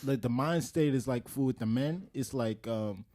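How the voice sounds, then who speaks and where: A man's voice: medium pitch, moderate pace, dark tone. A man from Liberia co-hosting a podcast, chatting casually and rambling, with "you know what I mean" tossed in again and again. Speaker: podcast co-host.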